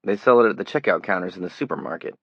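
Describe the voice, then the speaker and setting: A man's voice: low voice, very fast, no room sound, dull audio. Man doing a polite, wary young man. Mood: firm. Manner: polite but firm. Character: calm, wary young man who avoids visitors.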